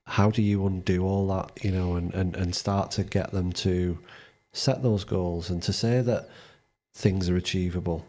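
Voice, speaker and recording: A man's voice: low-pitched, steady tone, a little slow, mellow. A man hosting a podcast, asking thoughtful, searching questions and restarting his phrases. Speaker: podcast host. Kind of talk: podcast interview.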